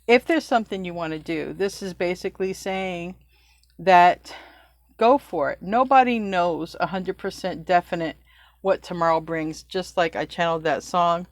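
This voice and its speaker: A woman's voice: on the low side, moderate pace, no room sound, studio quality. A middle-aged woman gives a casual spiritual tarot reading. She talks loosely, corrects herself mid-sentence, and chats as if to a friend. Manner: chatty, loose, self-correcting, laughs at own slips. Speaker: tarot reader.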